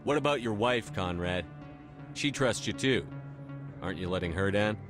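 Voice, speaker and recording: Man as a low-voiced, resolute space commander. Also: expressive, music in the background, lightly acted. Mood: calm, firm. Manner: measured, diplomatic, firm. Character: calm, resolute male military space commander. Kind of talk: video game voice acting.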